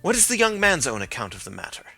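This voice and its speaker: A man's voice: a little high, huge pitch swings, quick pace, vocal fry, sharp tone, slightly rough, soft background music, exaggerated voice. A man reading aloud as an earnest middle-aged companion of the detective, asking questions and sounding surprised. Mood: questioning.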